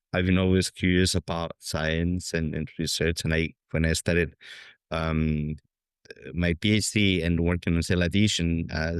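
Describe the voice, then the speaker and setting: A Spanish man in his fifties talks through his answers in a very low, resonant voice. He hesitates and restarts often with plenty of fillers. The recording is close and dry. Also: clean tone, dry recording. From Spain. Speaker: guest scientist. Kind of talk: conversational interview.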